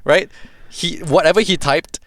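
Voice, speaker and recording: A man's voice: fairly high pitch, unhurried, no echo, studio quality. Singaporean man rambling casually as host. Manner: relaxed, rambling with many fillers. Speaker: podcast host. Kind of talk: casual chat.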